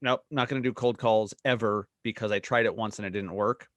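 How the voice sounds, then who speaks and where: A man hosting a podcast, talking in a relaxed, halting way with frequent restarts. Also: lowish voice, dry recording. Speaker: podcast host. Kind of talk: podcast interview.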